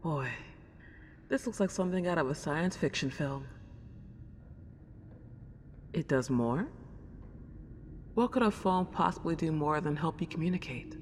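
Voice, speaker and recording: Century-old ghost girl, played by a woman, excitable and genteel, ASMR drama, very reverberant and noisy recording. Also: deep voice, a little slow, long pauses. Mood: puzzled. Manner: old-fashioned genteel wording, gushing wonder. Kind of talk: ASMR roleplay acting. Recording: long reverb, clear background noise.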